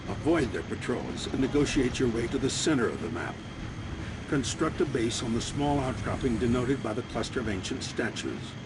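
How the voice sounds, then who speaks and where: A grim, authoritative military commander played by a man delivers a formal mission briefing for a video game. His pitch is medium and there is clear noise in the old game recording. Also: animated, moderate pace, lightly acted. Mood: grave, resolute. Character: grim male military commander briefing troops before battle. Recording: clearly noisy 1990s game audio.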